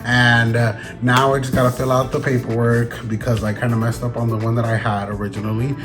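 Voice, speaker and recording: Guy vlogging to his phone, bit raspy and tired, rambly and jokey, music playing under him. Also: medium pitch, little pitch movement, a little fast. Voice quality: slightly raspy. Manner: chatty, rambling, joking. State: recovering from illness, tired. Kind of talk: casual vlog chat. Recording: background music.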